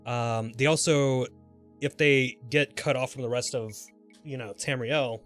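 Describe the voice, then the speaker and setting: A man livestreaming a video game, chatting casually and thinking aloud in a halting, mildly sweary way. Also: mid-range voice, fairly even intonation, a bit bright. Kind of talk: casual gameplay commentary and rambling chat. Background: music.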